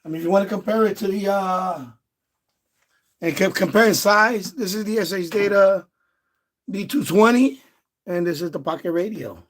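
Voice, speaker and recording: A man's voice: sits high, moderate pace, pauses often. A man chatting casually and repetitively as he hosts a livestream demonstrating radios from indoors. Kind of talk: casual chat. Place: indoors in a basement.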